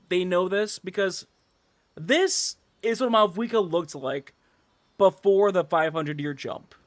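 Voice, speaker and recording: Higher-voiced man ranting on a game stream. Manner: animated ranting, exasperated, swearing, repetitive emphasis. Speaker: game livestreamer. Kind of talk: casual livestream commentary, chatting with viewers.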